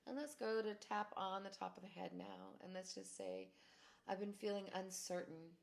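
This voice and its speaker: A woman guiding a tapping exercise, calmly giving steps and phrases to repeat. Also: medium pitch, normal speed, vocal fry. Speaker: tapping practitioner.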